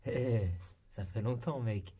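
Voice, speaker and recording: A young man chatting casually in an ASMR roleplay, soft-spoken and breathy, with a hesitant, slangy way of talking. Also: mid-range voice, slow pace, noisy background. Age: young adult. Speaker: roleplay performer as barbershop regular. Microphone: close binaural microphone.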